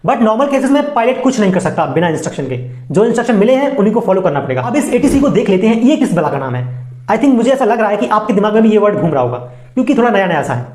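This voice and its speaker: A woman's voice: medium pitch, darkish tone. A woman with an Indian accent narrating an informal educational voice-over in a chatty, colloquial way, addressing viewers directly with playful asides. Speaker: explainer video narrator. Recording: roomy, echoing voice-over.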